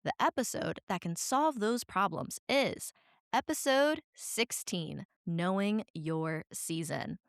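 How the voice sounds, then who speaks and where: A woman's voice: mid-range voice, expressive, slow, creaky, no room sound, clean studio sound. Chatty sick woman hosting a podcast. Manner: chatty, rambling, self-deprecating. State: sick, voice cracking. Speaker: podcast host. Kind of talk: solo podcast monologue.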